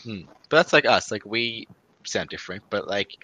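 A man's voice: lowish voice, animated, moderate pace, sharp tone, lo-fi sound. A young Australian man with an Australian accent, co-hosting a podcast in a laid-back casual chat and laughing easily. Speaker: podcast co-host. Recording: faint background hiss.

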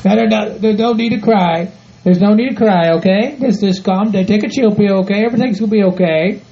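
A woman's voice: mid-range voice, a little fast, dark tone, close to an everyday voice. A woman voicing a formal male servant who keeps reassuring everyone in a stiff, soothing way. The recording sounds dull and lo-fi. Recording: dull lo-fi audio.

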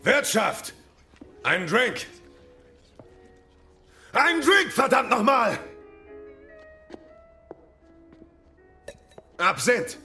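An obsessed, battle-hardened knight in a radio play. His voice is creaky and slow, with huge swings in pitch. He speaks in terse, defiant bursts. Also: very animated, exaggerated voice. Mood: angry, demanding. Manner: curt, intense, swearing. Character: enraged, obsessed Victorian knight, grim and defiant. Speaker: actor in an audio drama. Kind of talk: dramatic audio drama acting. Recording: loud dramatic music bed.

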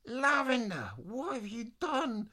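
A storyteller voicing an exasperated, hot-tempered girl adventurer from a children's storybook, huffy and given to yelling. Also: medium pitch, sing-song, measured pace. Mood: frantic, frustrated.

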